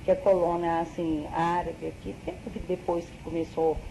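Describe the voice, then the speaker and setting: A woman's voice: on the low side, steady tone, normal speed, vocal fry, dark tone, a little hiss or hum, rough recording. A middle-aged woman recalling her family history in an interview, explaining things carefully and hedging as she reasons aloud. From Brazil. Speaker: interviewee, daughter.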